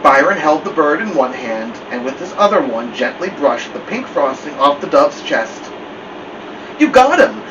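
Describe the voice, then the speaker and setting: A man's voice: on the high side, lively intonation, normal speed, few pauses. A man reading a novel aloud as first-person storybook narration. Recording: dull, distant mic with loud air-conditioner hum.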